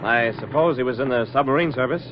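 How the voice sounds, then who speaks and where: Fast-talking, practical deep-sea diver played by a man. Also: natural voice. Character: brisk, practical, decent male civilian deep-sea diver. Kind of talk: 1940s radio drama acting. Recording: muffled, noisy 1940s radio recording.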